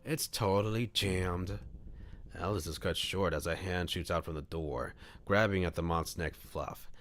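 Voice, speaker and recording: A man's voice: sits low, fairly even intonation, moderate pace, a bit bright, slight background noise. A man reading a visual novel aloud as a streamer, mixing scripted narration with casual asides. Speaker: streamer, VTuber. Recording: music behind the voice. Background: background music.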